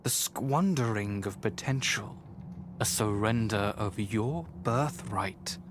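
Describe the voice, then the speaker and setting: A man performing a grave storybook narrator for a fantasy game, speaking formally in a lowish voice at an unhurried pace. Background ambience and noise run clearly under the voice. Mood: solemn, measured. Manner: measured, deliberate, formal. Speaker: narrator of a visual novel. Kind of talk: scripted narration, second person.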